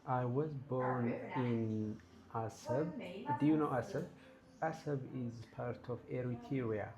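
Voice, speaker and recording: A man, answering interview questions in a measured, earnest way. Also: medium pitch, poor audio. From Ethiopia. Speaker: guest. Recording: crowd chatter in background.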